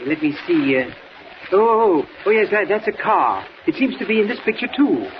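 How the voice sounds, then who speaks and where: Fussy, genteel, higher-voiced gent. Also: male voice, big pitch swings, moderate pace. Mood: fussy, calm. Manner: hesitant, proper, old-fashioned turns of phrase. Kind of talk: 1940s radio serial acting. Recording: muffled, noisy 1940s radio recording.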